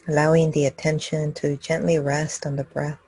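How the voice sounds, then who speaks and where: Husky-voiced woman leading a calm guided meditation. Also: low voice, dry recording, low-quality recording. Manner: calm, unhurried, with long pauses between phrases. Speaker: meditation teacher leading a live group session. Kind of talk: guided meditation, slow and gentle instruction.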